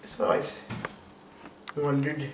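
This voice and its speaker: A young man answering interview questions calmly and reflectively. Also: on the high side, unhurried. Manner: calm and reflective. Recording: distant mic in an echoey noisy room.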